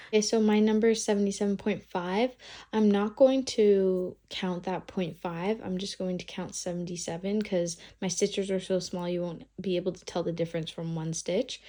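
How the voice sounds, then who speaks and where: A woman's voice: medium pitch, darkish tone. A young woman with a cold, chatting casually through a knitting tutorial and thinking aloud as she counts stitches. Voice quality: slightly congested from a cold. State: has a cold. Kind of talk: casual step-by-step craft tutorial.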